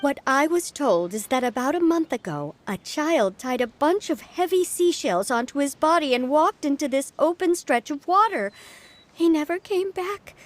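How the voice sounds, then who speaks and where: Earnest, worried young game lady: high, lively pitch, emphatic and talkative. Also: normal speed. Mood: worried, insistent. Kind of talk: video game voice acting.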